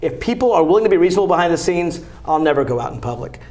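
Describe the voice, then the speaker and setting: A man with a fairly high speaking voice makes his campaign pitch in a TV studio interview. He talks fast and emphatically, pressing each point like a fighter. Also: expressive, a little mellow. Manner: emphatic, combative, rapid-fire argument. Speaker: congressional candidate. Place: local cable TV studio.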